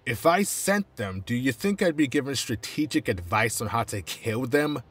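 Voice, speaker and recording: A man acting a cornered, anxious informant in an audio roleplay, pleading and explaining tactics urgently in a husky voice. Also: mid-range voice, animated, normal speed, a bit bright. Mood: panicked, urgent. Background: music.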